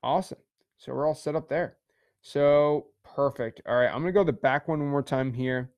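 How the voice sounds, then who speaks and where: A young man teaching a casual livestream course with energetic, repetitive pep talk. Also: medium pitch, moderate pace, no echo, studio quality.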